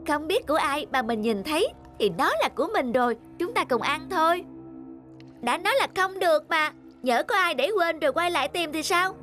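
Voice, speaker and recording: Very high-pitched cartoon bear cub. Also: female voice. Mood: earnest. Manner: childlike, expressive, earnest. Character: young bear cub, childlike, pleading and squabbling. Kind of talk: Vietnamese children's cartoon dubbing.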